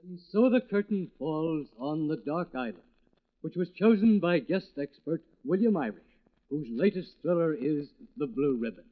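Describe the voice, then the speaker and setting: A man's voice: fairly high pitch, lively intonation, moderate pace. A man hosting a 1940s radio mystery series with scripted narration. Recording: muffled, narrow vintage radio recording.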